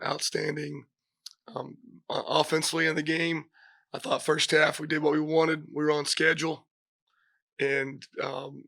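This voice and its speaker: Hoarse, gravelly football coach. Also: male voice, fairly high pitch, bright, forceful tone, no room sound. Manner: halting, many fillers and restarts. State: hoarse from a cold. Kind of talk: press conference remarks. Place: press conference room.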